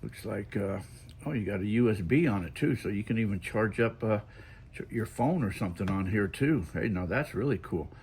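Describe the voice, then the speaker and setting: A man's voice: on the low side, animated, moderate pace, darkish tone. A man giving a casual, unscripted product demonstration, thinking aloud in a folksy way as he looks things over. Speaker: product reviewer showing gadget on video. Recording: faint background noise.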